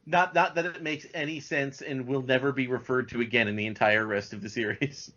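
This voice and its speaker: Male animator as podcast guest, medium pitch, informal conversational read, low-fidelity muffled audio. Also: fairly even intonation, a little fast, sharp tone. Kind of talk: casual chat about cartoons. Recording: dull lo-fi audio.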